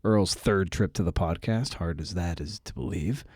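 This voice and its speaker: A man's voice: deep voice, moderate pace, a little mellow, breathy edge, no echo, clean studio sound. A man hosting a podcast and interviewing, speaking in a casual, informal, slangy way.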